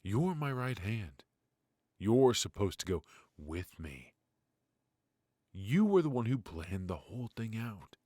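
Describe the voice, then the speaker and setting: A man playing a weary, ruthless yet fatherly mafia boss in an intimate ASMR roleplay, with a low, husky, breathy voice and a wry, sighing delivery. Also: sing-song, darkish tone, no echo, studio quality, exaggerated voice. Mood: worried, hushed. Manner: slow, pausing, sighing, wry.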